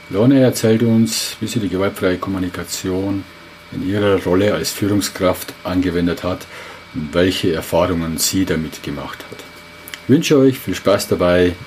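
A man's voice: deep voice, darkish tone. A man hosting a podcast and interviewing a guest in a friendly, chatty way. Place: rooftop terrace in a city, outdoors. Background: faint traffic noise.

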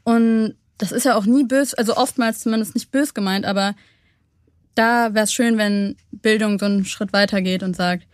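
Young woman, interview guest, reflective and measured, middle pitch, dry close mic. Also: fairly even intonation, no echo. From Germany. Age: young adult. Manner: thoughtful, measured, frequent hesitations.

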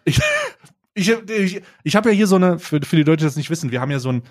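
Slightly high-voiced chatty man. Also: lively intonation, fast. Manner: chatty, hesitant, laughs easily. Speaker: podcast host. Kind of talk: casual chat. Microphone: remote call recording.

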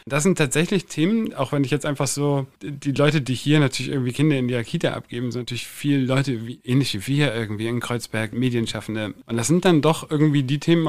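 A man in his thirties from Germany talks quickly, rambling through his thoughts with lots of fillers and half-finished restarts. His voice sits at an ordinary middle pitch, and the chat is loose and unscripted. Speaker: agency co-founder and podcast regular. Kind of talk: casual chat.

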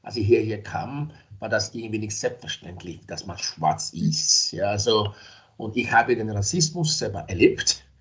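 A middle-aged man from Nigeria speaks as a podcast guest, in a somewhat low voice. He talks in a relaxed, hesitant way and often pauses on fillers and restarts. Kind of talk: casual interview talk.

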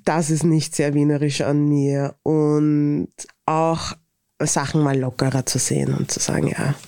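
A woman with a Viennese accent answers interview questions in a lively, candid way and laughs easily. Her voice sits a little low. The sound is dry and close, with no room echo. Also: unhurried. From Vienna, Austria. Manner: lively, frank, laughs often. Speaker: author and interviewee.

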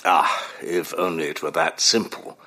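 An actor playing a wry, learned older psychoanalyst and father in an audio drama, deliberate and professorial. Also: extremely low pitch, flat delivery, sharp tone, exaggerated voice. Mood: pensive, instructive. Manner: deliberate, professorial, hesitant murmurs.

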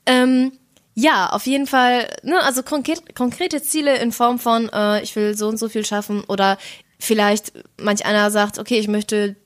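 A young woman co-hosts a relaxed chat, her fairly high voice slightly worn by a cold. She talks loosely and slangily, often breaking into laughter. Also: moderate pace. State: has a cold, voice a bit rough. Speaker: podcast co-host. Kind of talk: casual chat.

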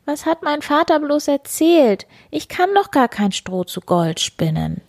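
A woman voicing a desperate young miller's daughter in a storybook tale, high and pleading. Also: very animated, a little slow, darkish tone, no room sound, clean studio sound, full character voice. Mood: despairing.

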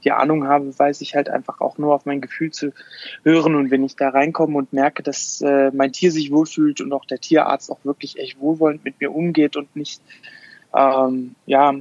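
A man's voice: a little high, little pitch movement, normal speed, a little mellow, dry recording. Hesitant man, dry, muffled sound. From Afghanistan. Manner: hesitant, many restarts and fillers. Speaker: dog behavior therapist. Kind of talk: podcast interview answers.

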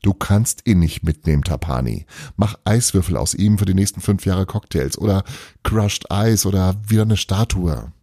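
A man reads an unimpressed female magician from a children's tale. The voice is low and gravelly. The lines come out dry and commanding. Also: expressive, moderate pace, hardly any pauses, mellow, dry recording, exaggerated voice. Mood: stern.

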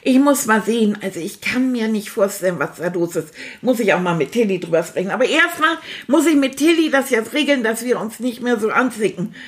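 Woman playing a boastful stuffed toy rat, male, high playful voice, chatty kids' story. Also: expressive, fairly quick, hardly any pauses, lightly acted. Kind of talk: children's audio story.

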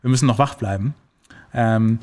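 A man's voice: mid-range voice, moderate pace, slight background noise. Chatty man explaining science casually. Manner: relaxed, many fillers, explains with everyday examples.

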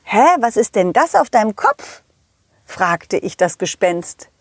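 A woman voicing a confident, loyal, kindly dog who tells his adventures to children in a chatty, halting, empathetic way. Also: mid-range voice, very animated, husky, no echo, clean studio sound, exaggerated voice. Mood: earnest, kindly. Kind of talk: children's audio play storytelling.

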